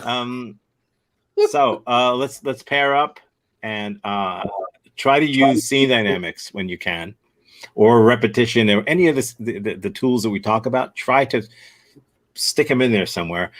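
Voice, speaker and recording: A man's voice: on the low side, a bit bright. A man leading an improv workshop, giving rambling, upbeat feedback on a scene and setting up the next exercise. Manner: rambling, self-correcting, upbeat. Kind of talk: casual workshop feedback and instruction.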